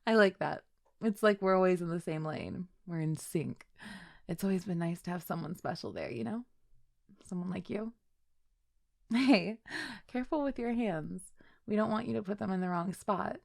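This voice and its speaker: A woman's voice: fairly low pitch, normal speed, creaky, pauses often, no room sound, clean studio sound. A woman playing a chill, flirty best friend with a secret crush in an intimate audio roleplay, speaking in a giggly, hesitant, affectionate way. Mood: tender, flirty. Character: chill, flirty female best friend shyly confessing love. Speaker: voice actor.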